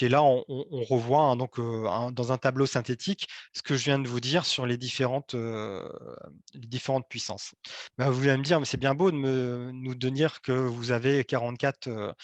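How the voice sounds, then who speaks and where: A man giving an explanatory presentation to local officials, speaking in a halting, hesitant way. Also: mid-range voice, no echo.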